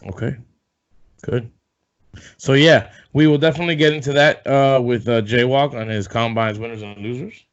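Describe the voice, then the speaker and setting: A man hosting a casual sports podcast, rambling and loose, swearing offhand, a bit hoarse as if coming down with something. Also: medium pitch, steady tone, moderate pace. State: losing his voice, feeling sick. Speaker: podcast host. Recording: dull lo-fi sound.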